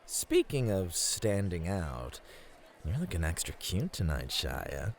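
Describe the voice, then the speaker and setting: A man acts out a male bunny-club host in an ASMR roleplay. His voice sits low, and he is earnest and caring. He teases and flirts playfully with whoever he is talking to. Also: wildly swooping pitch, slow pace, gravelly, slight background noise, full character voice. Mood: flirty.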